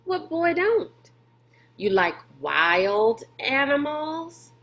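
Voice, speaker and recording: A woman's voice: sits high, big pitch swings, drawn-out words, a bit bright, pitched above the performer's own voice, full character voice. A large, pushy man from a storybook, as acted out by a woman reader. He hollers in a folksy drawl and likes to boss people around. The delivery takes its time. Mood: sly, boastful. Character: large brash bossy man, folksy schemer.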